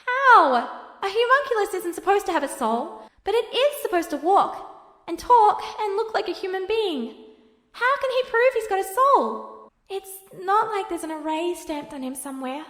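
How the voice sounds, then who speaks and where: A woman's voice: extremely high pitch, very animated, moderate pace, fairly bright tone, reverberant, in a large hall, pitched above the performer's own voice, heavily acted. A woman voicing an earnest, worried boy, a younger brother who pleads and reproves, in an audiobook story. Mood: desperate, pleading. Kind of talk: audiobook character voice.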